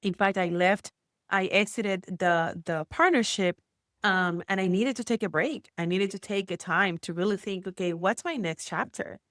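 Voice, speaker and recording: A woman from Puerto Rico with a Puerto Rican accent, a guest entrepreneur telling her story in a chatty, animated way with many fillers. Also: mid-range voice, normal speed, no room sound, studio quality. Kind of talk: casual interview chat.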